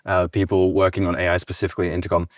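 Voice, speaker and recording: A man's voice: low-pitched, completely flat delivery, very fast, dry recording, clean studio sound. A man hosting a podcast, interviewing in a casual, conversational style.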